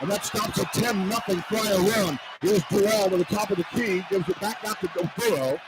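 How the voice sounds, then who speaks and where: A man's voice: high-pitched, moderate pace. A man doing live radio basketball play-by-play in clipped running phrases that build with the action. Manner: clipped running phrases, voice rising to shouts on big plays. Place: courtside in a college basketball arena. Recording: live arena broadcast with background noise. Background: arena ambience, faint noise.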